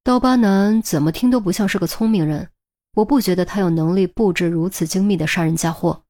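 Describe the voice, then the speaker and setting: A woman's voice: mid-range voice, fairly quick, warm tone, natural voice. A woman voicing a firm senior policewoman in an audiobook, with an authoritative, no-nonsense manner. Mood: analytical. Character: firm, brisk senior policewoman, adult, exasperated mentor.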